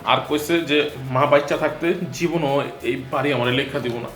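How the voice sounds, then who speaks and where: An actor playing a bumbling, henpecked husband, stammering and whining in a comic melodrama. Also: male voice, a little high, a little fast, fairly bright tone, lightly acted. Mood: sheepish. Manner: stammering, flustered, pleading. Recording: echoey room with music behind.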